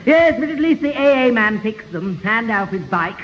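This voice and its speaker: A man playing a polite, long-suffering, fussy gentleman with a formal, apologetic manner in a radio sitcom. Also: extremely high pitch, sing-song, normal speed, a little hiss or hum, exaggerated voice. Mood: politely weary. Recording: dull old radio recording in hall.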